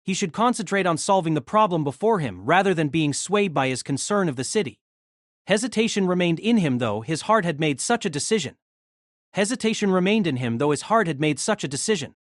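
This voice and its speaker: A man's voice: a little high, lively intonation, brisk, no room sound, studio quality. A man reading scripted, third-person narration of a fantasy story.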